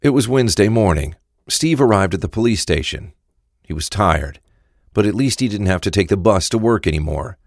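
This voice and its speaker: A man's voice: fairly low pitch, animated, moderate pace, dry recording. A man reading scripted narration for a crime audio drama.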